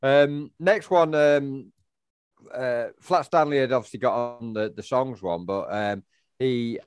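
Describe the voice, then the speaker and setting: A man's voice: medium pitch, takes it slowly. A middle-aged man, co-hosting a casual chat and rambling through answers with lots of fillers and restarts. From England. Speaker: podcast co-host.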